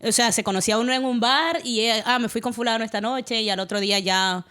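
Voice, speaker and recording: A woman's voice: on the high side, fast talker, fairly bright tone. A woman with a Dominican Spanish accent, talking casually as a panelist in a group podcast chat. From Dominican Republic.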